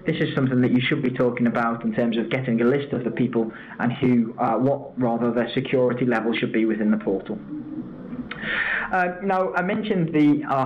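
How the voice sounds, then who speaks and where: Man presenting training over dull, noisy audio. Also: mid-range voice. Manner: explanatory, walks through examples step by step. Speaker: product trainer. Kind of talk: informal webinar presentation over slides. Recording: dull lo-fi audio with background noise.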